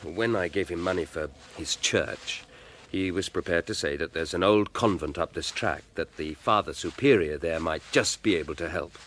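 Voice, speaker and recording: Haunted, low-voiced young gentleman. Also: moderate pace. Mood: weary, explanatory. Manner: overwrought, formal Victorian phrasing. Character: haunted young English gentleman, anguished, fearful, obsessive heir. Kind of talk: period radio drama acting. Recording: studio radio drama with background ambience noise.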